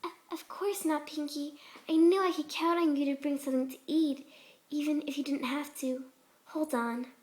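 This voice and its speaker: Woman as timid cartoon pegasus girl, high voice, gentle, polite, hesitant. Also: steady tone, moderate pace, natural voice. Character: shy, gentle, soft-spoken young female pegasus pony.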